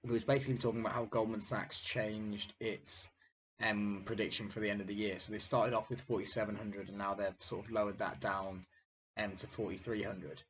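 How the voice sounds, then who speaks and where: Croaky young man, hesitant market chat. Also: fairly low pitch, little pitch movement, brisk. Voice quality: hesitant, many fillers. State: croaky, recovering from illness. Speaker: finance video host. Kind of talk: casual market commentary. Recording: dull lo-fi sound, faint noise.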